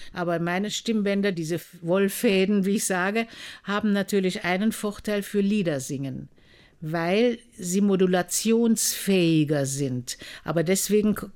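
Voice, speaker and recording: Matter-of-fact older woman, medium pitch. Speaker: retired opera singer. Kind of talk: conversational interview.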